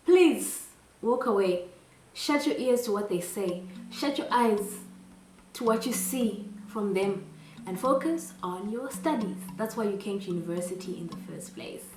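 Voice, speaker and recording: A woman's voice: medium pitch, distant mic, slight background noise. Earnest woman advising students in a roomy space. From Zimbabwe. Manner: earnest and encouraging, with rhetorical questions. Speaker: vlogger giving student advice. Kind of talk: advice vlog, direct address.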